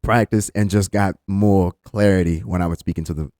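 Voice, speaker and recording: A man with a thick East Texas accent and a deep voice talking energetically as a podcast guest. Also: normal speed, no room sound, studio quality. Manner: animated, energetic talker. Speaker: guest, amateur comedian. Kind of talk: casual conversation.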